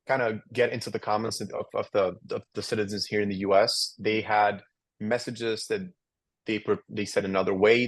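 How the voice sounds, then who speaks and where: A man's voice: lowish voice, no echo. A young man from Albania, a podcast guest, answering casually with many fillers and restarts. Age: young adult. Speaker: podcast guest, incoming law student.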